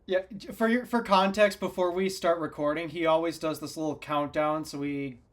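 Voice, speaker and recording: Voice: medium pitch, fairly even intonation, normal speed. A co-host on a casual tech podcast, teasing the host and laughing often.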